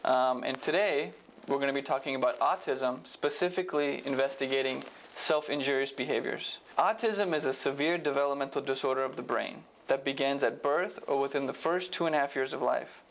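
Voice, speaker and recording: A young man presents scripted educational material in a formal, explanatory way. His medium-pitched voice has a noticeable creak, and there is faint noise behind it. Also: a little fast, poor audio. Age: young adult. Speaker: medical student presenter.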